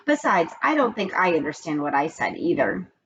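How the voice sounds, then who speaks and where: A woman's voice: lowish voice, big pitch swings, normal speed, fairly bright tone. Casting: woman reader as a laid-back, reassuring man who teaches art, storybook style, varied intonation. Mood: assured. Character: easygoing, matter-of-fact male art teacher. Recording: dull lo-fi recording with background noise.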